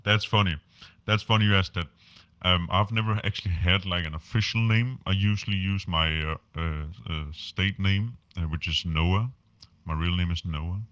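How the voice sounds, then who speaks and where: A man's voice: sits low, fairly bright tone, dry recording. A Danish man speaking English as a podcast guest, talking casually with lots of fillers and restarts. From Denmark. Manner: halting, many fillers and restarts. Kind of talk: casual interview chat.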